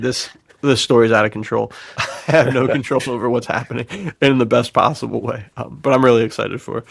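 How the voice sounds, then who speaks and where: Enthusiastic low-voiced man in casual chat. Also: gravelly, fairly bright tone, dry recording. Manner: effusive, informal, says "dude". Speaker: podcast host and fiction author. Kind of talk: casual podcast chat about writing.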